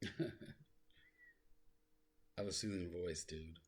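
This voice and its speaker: A man rambling through his own life story in a soft, low voice he calls soothing, full of fillers and asides. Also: takes it slowly, slightly rough. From South Lake Tahoe, California. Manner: rambling, self-deprecating, full of fillers and digressions.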